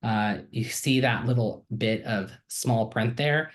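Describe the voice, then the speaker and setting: A man talks casually into his computer mic while sharing his screen. He sounds friendly and upbeat and keeps filling pauses with ums and uhs. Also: mid-range voice. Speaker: student giving a software demo. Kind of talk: casual screen-share walkthrough.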